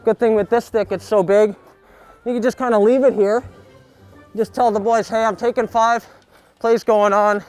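A young man outdoors giving a jokey sports tutorial, coaching in a mock-serious, deadpan way full of hockey slang. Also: high voice, normal speed, pauses often, clean tone, poor audio. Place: backyard, outdoors. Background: music.

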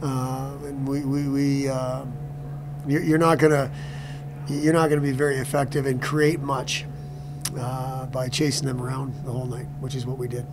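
Halting middle-aged coach at a press conference. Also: male voice, mid-range voice, little pitch movement, noisy background. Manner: halting, repeats words, many restarts. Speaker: hockey coach. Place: press room before a sponsor backdrop.

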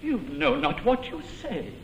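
A man's voice: fairly high pitch, very animated, measured pace, fairly bright tone, slight background noise, rough recording. A man playing a timid, lovesick comic suitor in an operetta, pleading in patter and singing a mournful ballad. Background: orchestra.

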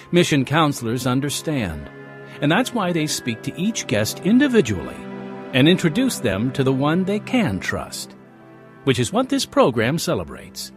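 A man announcing and narrating a radio drama series in a scripted delivery. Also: mid-range voice. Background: music behind the voice.

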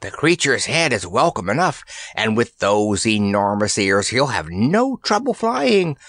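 Oily storybook monster, male narrator acting: gloating, menacing, slow and wildly swooping in pitch. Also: sing-song, fairly bright tone, dry recording, studio quality, full character voice. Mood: gloating menace.

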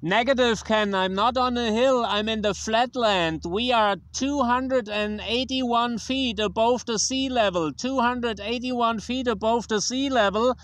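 A man from Germany with a German accent, chatting casually as a ham radio operator and deliberately repeating phrases. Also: extremely high pitch, a bit bright. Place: outdoors on a grassy riverbank. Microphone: handheld tablet recording.

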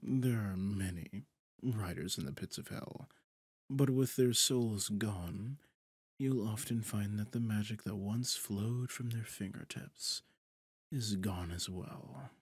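A man voicing a possessive, suave demon lord in a roleplay monologue, pompous and deliberate, often dropping into breathy half-whispers. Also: lowish voice, no echo, studio quality. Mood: smug, scheming. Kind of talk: scripted ASMR roleplay monologue.

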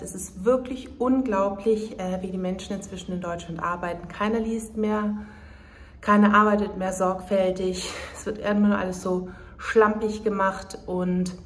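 Chatty woman vlogging into her phone. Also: mid-range voice. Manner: chatty, indignant when complaining. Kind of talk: casual vlog talk to camera. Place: indoors at home and in shops, some outdoors. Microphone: phone camera at arm's length.

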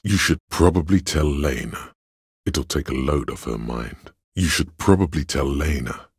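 An actor playing a detective's inner voice of logic in a video game, a grave, analytical man with a gravelly rasp and a deliberate, dry, detached delivery. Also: very deep voice, no room sound, heavily acted. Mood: cool, deducing.

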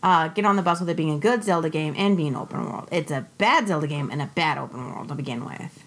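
A woman's voice: sits low, fast talker. A woman co-hosting a gaming podcast, talking casually with a cold and ranting bluntly with plenty of swearing. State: has a cold. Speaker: podcast co-host. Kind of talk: casual chat.

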